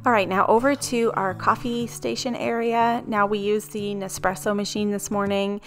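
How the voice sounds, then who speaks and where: A woman's voice: medium pitch, moderate pace, music in the background. A woman in her thirties narrating her home cleaning video in a casual, rambling voice-over. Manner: chatty, rambling, relaxed asides. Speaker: cleaning vlogger.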